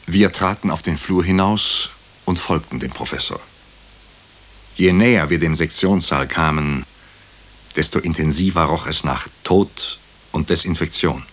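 A doctor recounting a crime story for radio drama. His voice is extremely deep. He tells it soberly, in measured, literary sentences. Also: fairly bright tone. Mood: sober, observant. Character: male doctor narrating a crime story in first person, sober.

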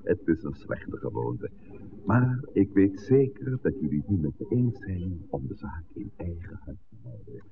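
Deep, gravelly, animated village priest. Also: male voice, normal speed, heavily acted. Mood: earnest, wry. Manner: formal, courteous, animated. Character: village priest, genial, somewhat dictatorial older man. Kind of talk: 1960s Dutch radio drama acting. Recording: narrowband vintage radio recording, faint noise.

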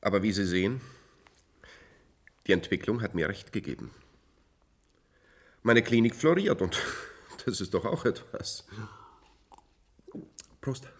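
An actor playing a smug, sardonic clinic chief in a radio play, pompous, mocking and condescending. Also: male voice, fairly low pitch, lively intonation, slow, long pauses, exaggerated voice. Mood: smug, dismissive. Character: smug, worldly, sardonic male private-clinic chief doctor. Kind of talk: German science-fiction radio play acting.

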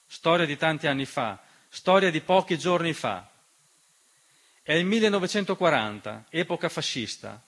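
A man delivering a scripted radio theatre monologue, measured and dramatic, with deliberate pauses. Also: on the high side, animated, a bit bright, slightly rough. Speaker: stage storyteller and narrator. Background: piano music.

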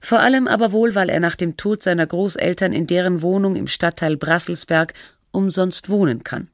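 A documentary narrator reading a scripted feature in a measured, matter-of-fact, scholarly way. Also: medium pitch, no room sound, clean studio sound, close to an everyday voice.

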